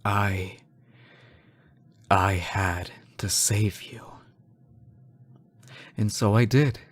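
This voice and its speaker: A man playing an obsessive, lovesick fallen angel in a dramatic audio roleplay, theatrical and halting, bitter and unhinged. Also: lowish voice, steady tone. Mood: hushed, devoted. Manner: theatrical, halting, sighing, swinging from hushed to frantic. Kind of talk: dramatic audio roleplay monologue addressed to a lover.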